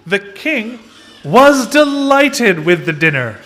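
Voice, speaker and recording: Children's puppet show narrator, male, lively swoops, slow deliberate pacing. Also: high voice. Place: social hall, live broadcast. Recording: faint noise in social hall.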